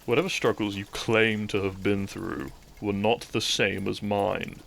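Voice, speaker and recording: A man playing a bitter, brooding thief in a scripted audio roleplay, speaking straight to the listener with mocking politeness and dramatic pauses. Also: fairly low pitch, steady tone, vocal fry, fairly bright tone, slight background noise. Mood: dismissive, resentful. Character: bitter young male thief, kidnapper, once a noble's childhood friend.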